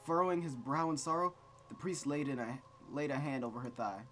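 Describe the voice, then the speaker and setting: A man with a middling pitch reads a visual novel aloud, then drifts into casual commentary. He rambles and stammers, poking fun at himself as he goes. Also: brisk, fairly bright tone, faint music behind. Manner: rambling, self-deprecating, stammers often. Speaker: host reading a game aloud. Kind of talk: let's play reading with casual commentary.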